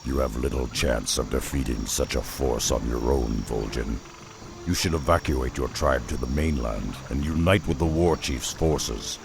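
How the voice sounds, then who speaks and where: A man's voice: a bass voice, normal speed, background noise. A man voicing a blunt, loyal male half-ogre beast hunter in a video game cutscene. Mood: grim. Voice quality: very gravelly. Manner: blunt, terse and gruff.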